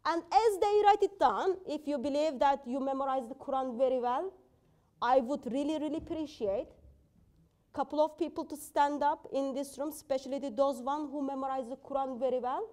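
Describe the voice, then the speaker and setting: A woman's voice: high voice, normal speed, a little mellow. A woman in her thirties, not a native English speaker, firmly rebutting an opponent in a live debate, speaking in a plain indoor room. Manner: assertive, challenging, non-native phrasing.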